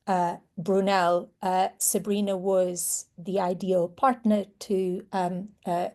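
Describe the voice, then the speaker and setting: A woman from Portugal, a former clinician turned researcher, giving a measured presentation talk on a video call. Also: mid-range voice, a little mellow. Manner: measured, with fillers.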